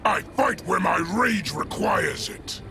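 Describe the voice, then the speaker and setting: An actor playing a raging, vengeful alien warlord in a video game, snarling with menace. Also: male voice, sits high, expressive, slow, creaky, loud music behind the voice, heavily acted. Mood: vengeful rage.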